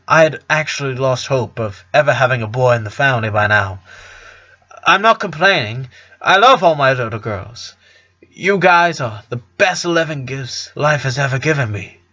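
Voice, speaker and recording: A man voicing a warm, joking middle-aged father who tells family stories in an earnest, fond way, in a cartoon fan fiction reading. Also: mid-range voice, highs cut off. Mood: earnest, affectionate.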